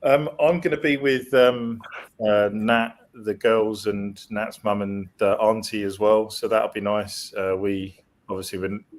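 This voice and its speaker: A man's voice: fairly low pitch, little pitch movement, measured pace. A man hosting a casual podcast, hesitant and friendly, asking his guests questions. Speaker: podcast host.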